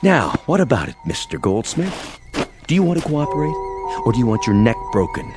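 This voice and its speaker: A man's voice: mid-range voice, big pitch swings, brisk, over music, natural voice. An American man playing a domineering, mocking army major in an audio drama, talking in sarcastic, slangy, menacing lines. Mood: cold menace. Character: domineering, mocking army major enforcing order after nuclear war.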